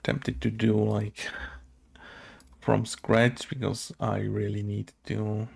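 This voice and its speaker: A man talking casually to himself as he works through code, muttering in a halting, unscripted way, as a game developer recording his screen work. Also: on the low side, flat delivery, vocal fry, breathy edge. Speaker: game developer making a tutorial video. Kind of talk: casual thinking aloud while coding. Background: keyboard typing and clicking.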